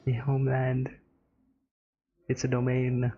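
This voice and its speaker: Very low, creaky woman gamer. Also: completely flat delivery, slow, narrow, tinny audio, soft background music. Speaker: gaming streamer. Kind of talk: casual game reaction commentary.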